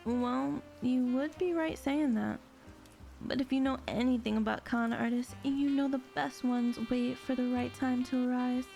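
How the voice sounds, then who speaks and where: A woman as a high-voiced, sly con artist. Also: steady tone, a little slow, gravelly, warm tone, faint music behind. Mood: coy, teasing. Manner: sassy, drawling terms of endearment, teasing. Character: sly, sweet-talking female con artist, teasing and self-assured. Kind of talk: audio drama acting.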